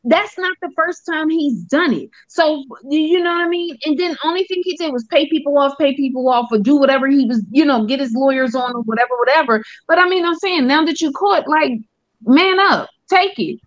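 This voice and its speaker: A woman's voice: high-pitched, brisk, dry recording. A woman speaking bluntly and argumentatively, swearing freely in a casual podcast debate. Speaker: podcast panelist. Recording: dull, muffled audio.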